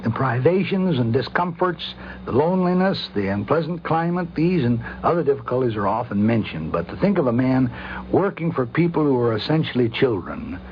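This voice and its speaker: Halting, formal man on noisy old radio. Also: medium pitch, sing-song. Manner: hesitant, halting, formal wording. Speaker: guest doctor commenting. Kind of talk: reflective spoken commentary. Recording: noisy, humming, dull old radio recording.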